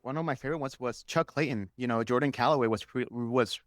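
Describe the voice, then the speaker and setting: A man's voice: mid-range voice, quick pace, dry recording, clean studio sound. A man whose first language is Spanish, chatting enthusiastically and casually as a guest telling stories on a podcast. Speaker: interview guest, superfan.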